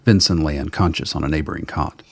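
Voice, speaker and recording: Very deep-voiced male story narrator. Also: fast. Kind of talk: scripted radio drama narration.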